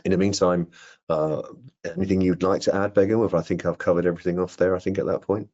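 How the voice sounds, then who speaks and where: A middle-aged man with a husky voice giving a product training presentation on a video call and taking questions. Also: deep voice, flat delivery, quick pace, darkish tone. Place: indoor room on a video call. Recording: dull, lo-fi video call audio.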